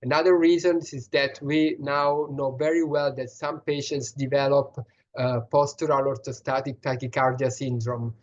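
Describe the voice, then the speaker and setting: A man's voice: medium pitch, fairly even intonation, moderate pace, highs cut off. A man with a strong Italian accent, a pediatrician answering questions in an online session, explaining earnestly with many restarts and fillers. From Italy.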